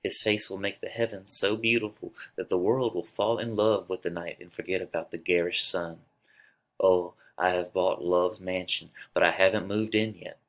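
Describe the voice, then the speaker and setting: A man's voice: sits low, steady tone, moderate pace. A man playing a lovesick young girl in a dramatic reading of a tragedy, declaiming with open emotion. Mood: yearning. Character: lovesick young girl, impatient, then anguished and grieving.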